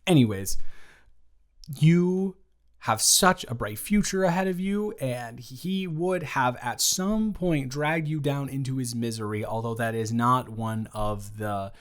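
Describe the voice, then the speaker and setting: Rambling young best friend, voiced by a man, teasing, stumbling over his words, mid-pitched. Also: a little slow, close to an everyday voice. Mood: mocking. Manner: rambling, teasing, self-correcting. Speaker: audio roleplay creator. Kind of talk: improvised audio roleplay.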